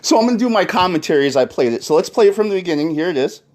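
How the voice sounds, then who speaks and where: A man's voice: sits high, lively intonation, racing, darkish tone. A man speaking insistently and confrontationally, as a caller and commentator arguing his case. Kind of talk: argumentative phone call and commentary.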